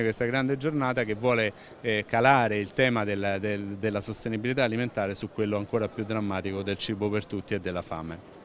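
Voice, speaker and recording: A man in his fifties with an Italian accent, giving earnest, fluent interview answers into a handheld microphone in a large indoor expo hall, with frequent "eh" fillers and restarts. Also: on the low side. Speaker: president of an environmental organization. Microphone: handheld reporter microphone held close. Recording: noisy venue background.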